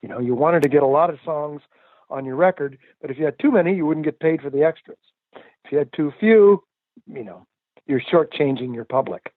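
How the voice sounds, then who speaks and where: A man's voice: a little high, a little fast, dry recording. An older male musician answering interview questions in a reflective, chatty way with frequent laughs and fillers. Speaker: musician guest. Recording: tinny phone line.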